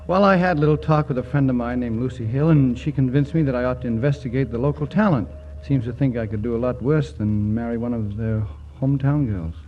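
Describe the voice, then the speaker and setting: A man's voice: mid-range voice, fairly quick, hardly any pauses, a little hiss or hum, close to an everyday voice. On a dull old radio recording, a man plays a genial army major courting in a romantic comedy. His mid-range voice is casual, teasing and full of banter. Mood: playfully romantic. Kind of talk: 1940s radio comedy acting.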